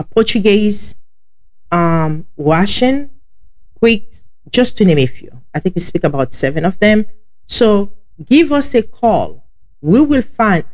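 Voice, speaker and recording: A woman with a heavy Haitian accent hosts a casual podcast, speaking to her listeners directly. Her voice sits slightly low, and she talks in a chatty, informal way. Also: a little mellow. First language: Haitian Creole. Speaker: podcast host.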